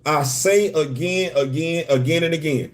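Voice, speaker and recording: A man from New York with a hint of a Southern accent hosts a live stream, his voice sitting a little high for a man. He talks in emphatic, slangy bursts, repeating lines for weight and calling out to viewers as they join. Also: normal speed. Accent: Southern-tinged New York. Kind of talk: animated live-stream commentary.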